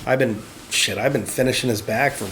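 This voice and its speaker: A man's voice: medium pitch, fairly quick, a bit bright, slight background noise. Rambling man in his forties. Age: 40s. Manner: rambling, profane, many restarts. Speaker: tattoo shop worker. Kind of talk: casual chat.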